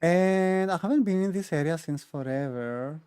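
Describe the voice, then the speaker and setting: A man's voice: fairly high pitch, normal speed, warm tone, clean tone, no echo, studio quality. A man giving casual gaming commentary as a streamer, chatty and thinking aloud as he plays. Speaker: game streamer.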